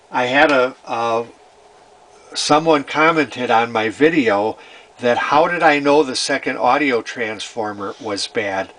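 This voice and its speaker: A man with a medium-pitched voice explains technical repair work in an informal way. He goes through it methodically, with a halting delivery, often repeating words and phrases as he demonstrates. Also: a bit bright, slight background noise, poor audio. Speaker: vintage radio repair hobbyist presenting.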